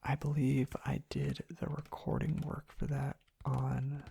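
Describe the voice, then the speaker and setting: A man softly rambling in an ASMR style, hushed and halting, drifting into whispers close to the mic. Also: mid-range voice, level tone, gravelly, slightly rough. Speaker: ASMR video creator. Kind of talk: soft-spoken ASMR ramble. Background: tapping and clicking.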